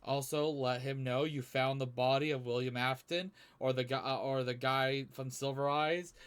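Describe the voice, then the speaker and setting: A man's voice: medium pitch, fairly even intonation, moderate pace, clean tone. A young man giving casual reaction commentary as a video host, sardonic and freely swearing. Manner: sardonic, profane.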